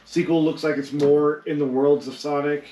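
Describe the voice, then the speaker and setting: A man's voice: on the high side, level tone, moderate pace, warm tone. A man on a podcast panel chatting casually, a little hesitant as he searches for words. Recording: echoey room, faint noise.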